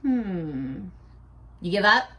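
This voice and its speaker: A woman teaching young children in a read-aloud lesson, speaking in an animated, playful way and asking questions as if the viewers answer back. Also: mid-range voice, very slow. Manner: asks questions and answers imagined replies.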